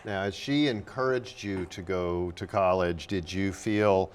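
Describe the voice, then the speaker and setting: Male interviewer, lower register, measured and thoughtful, conversational broadcast style. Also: a little hiss or hum. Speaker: interview host.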